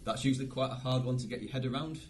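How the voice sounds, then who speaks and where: A man's voice: mid-range voice, fairly even intonation, very fast, a little hiss or hum. A man co-hosting a podcast with casual banter, jokey, giggly and enthusiastic. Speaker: podcast co-host.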